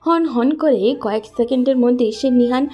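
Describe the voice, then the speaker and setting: A woman's voice: high voice, normal speed, highs cut off, faint music behind. A woman narrating a scripted romantic story as its storyteller.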